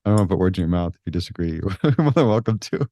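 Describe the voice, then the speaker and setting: A man hosting a podcast interview, relaxed and joking in a casual way. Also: sits low, rapid-fire, no echo, faint music behind. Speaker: podcast host.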